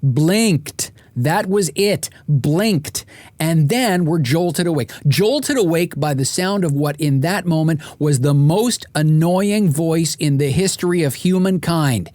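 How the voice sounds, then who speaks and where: A man's voice: a little high, slow, darkish tone, no room sound. A man reading a scripted audiobook as its author, telling it in a wry, comic way with exasperated emphasis. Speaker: author and podcast host narrating.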